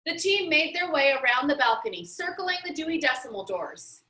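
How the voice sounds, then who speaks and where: A woman reading a children's novel aloud in an expressive storytelling manner. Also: high voice, normal speed. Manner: expressive, acts out dialogue. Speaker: reader. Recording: roomy, echoey sound.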